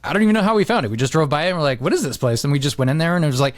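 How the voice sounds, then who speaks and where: Man, slightly high voice, casual chat, halting rambling delivery, dry sound. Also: expressive, no echo. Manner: rambling, halting, full of hedges and repeats. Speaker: podcast co-host.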